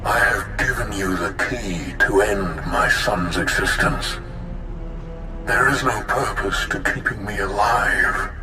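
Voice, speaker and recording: A deep echoing ancient Sith spirit. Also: male voice, fairly even intonation, music in the background. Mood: ominous. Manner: slow, grave, commanding. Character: ancient male Sith Lord spirit, proud and weary. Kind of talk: video game cutscene acting. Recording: echoing spirit voice over game score.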